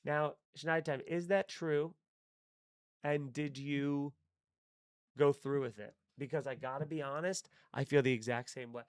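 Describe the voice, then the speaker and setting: A man's voice: a little high, fairly even intonation, measured pace, clean tone, no echo. Profane rambling man, dry sound. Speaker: comedian hosting a live stream. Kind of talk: casual live-stream chat reading chat comments.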